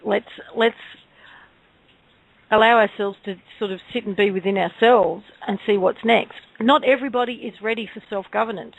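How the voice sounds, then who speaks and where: Woman guest on a radio talk show, normal pitch, explaining stuff earnestly. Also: moderate pace, gravelly, dull audio.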